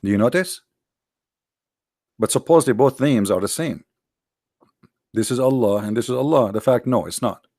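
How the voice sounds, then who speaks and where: A man whose first language is Arabic, lecturing combatively over on-screen text, with many halting fillers and slow, drawn-out stress on key words. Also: medium pitch, darkish tone, dry recording, studio quality. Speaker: religious commentator.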